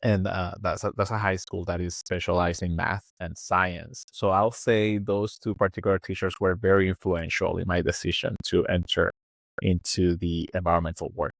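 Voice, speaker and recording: A man in his thirties with a Puerto Rican Spanish accent, his voice sitting very low. He answers interview questions casually, stopping and restarting often with many fillers. The sound is dry and close to the mic. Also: level tone, moderate pace, gravelly, dry recording. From born in Venezuela, raised in Puerto Rico. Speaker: guest, environmental engineer.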